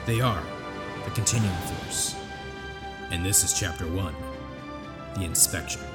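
A man's voice: low-pitched, a little slow, loud music behind the voice. A man narrating a scripted audio drama introduction in a dramatic, portentous style.

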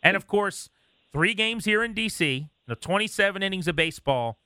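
Bright-voiced American male sports host. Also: high voice, animated, normal speed, clean tone, dry recording, studio quality. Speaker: sports talk show host. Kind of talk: casual sports chat.

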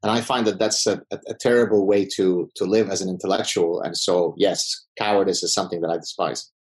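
A man's voice: low voice, fairly even intonation, fairly quick. A middle-aged man from the Middle East, a professor and native Arabic speaker, answering interview questions in a confident, combative way with wry humor. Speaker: podcast guest, professor.

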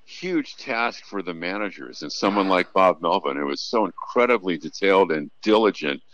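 A man's voice: low-pitched, animated, moderate pace, a bit bright, muffled recording. A man who works as a radio play-by-play announcer, speaking earnestly as a guest in a conversational sports interview.

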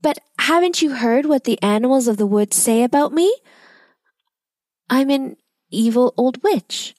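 A woman voicing a lonely old woman who acts like a threatening witch, loud and angry at first, then quiet and sad. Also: high-pitched, expressive, unhurried, no echo, pitched above the performer's own voice.

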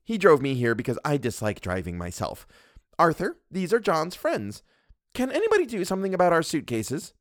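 Guy putting on a high, bossy storybook wife, haughty and scolding, pitch jumping all over. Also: wildly swooping pitch, normal speed, no room sound, clean studio sound. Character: imperious, fussy middle-aged woman, a spiritualist wife.

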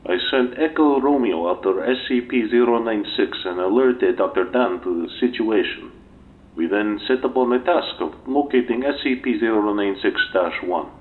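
An actor playing a dutiful scientist giving formal, factual incident testimony in an audio drama. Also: male voice, fairly low pitch, normal speed, lightly acted. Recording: tinny narrow-band interview recording.

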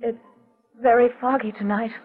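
A woman's voice: on the high side, steady tone, normal speed, faint music behind, close to an everyday voice. An actress playing a brave but anxious young woman in danger in a 1940s radio melodrama, speaking earnestly, politely and with some hesitation. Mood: nervous, brave. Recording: tinny vintage 1940s radio recording.

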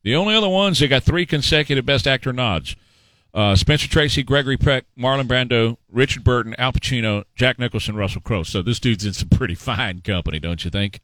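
A man's voice: mid-range voice, a little fast, a bit bright, dry recording, rough recording. A middle-aged man hosting a talk show, giving opinionated political commentary in a sarcastic, mocking, emphatic way.

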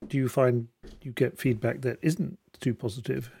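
Gravelly, husky male podcast host. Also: mid-range voice, steady tone, moderate pace, warm tone, dry recording, studio quality. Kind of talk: interviewing a guest.

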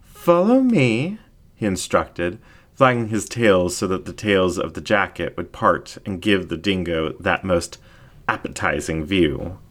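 A man reading a story aloud as narrator, with expressive scripted delivery. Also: low-pitched, unhurried.

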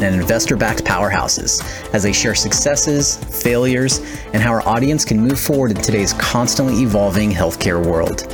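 A man hosting a podcast, moving between scripted introduction and conversational interviewing. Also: medium pitch. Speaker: podcast host.

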